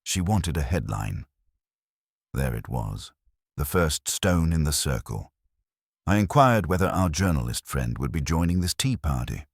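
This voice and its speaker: A man reading a first-person detective mystery as an audiobook narrator, with wry, measured storytelling. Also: extremely low pitch, very animated, dry recording, clean studio sound.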